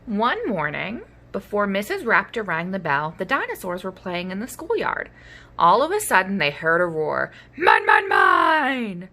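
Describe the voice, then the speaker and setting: A woman hosting story time, reading a children's picture book aloud in an animated storytelling style. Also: mid-range voice, moderate pace.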